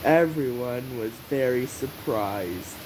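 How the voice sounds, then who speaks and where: A person reading a story aloud as narrator, in halting, drawn-out phrases with pauses, on a hissy recording. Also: medium pitch, lively intonation. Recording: hissy, noisy home recording.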